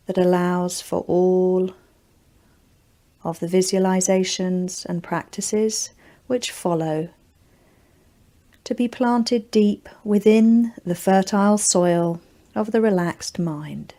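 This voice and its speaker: A woman leading a guided yoga nidra relaxation, giving gentle, deliberate instructions in short, spaced phrases. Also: medium pitch.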